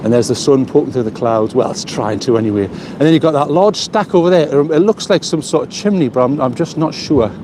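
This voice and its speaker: A man's voice: on the high side, fairly quick, hardly any pauses, background noise. A man from the North East of England, chatting with viewers in a rambling, talkative way while he walks a seaside pier. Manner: chatty, rambling, repeats words while thinking. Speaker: vlogger. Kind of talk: live-streamed walking vlog, chatting with viewers. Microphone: small mic on a phone while walking.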